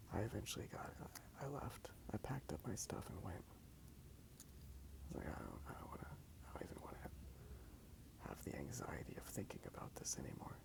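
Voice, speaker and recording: A man whispering softly in a breathy, gravelly voice, rambling casually and a little hesitantly in an ASMR style. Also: medium pitch. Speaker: ASMR video creator. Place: outdoors in a park.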